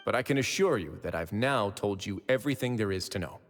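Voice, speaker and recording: An actor playing a composed, shrewd duke in a video game, speaking in a measured, formal and polite way. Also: male voice, fairly low pitch, lively intonation, in a large hall, faint music behind, lightly acted. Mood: calm, measured. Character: composed, courteous duke and shrewd investigator, adult man. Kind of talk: scripted video game voice acting.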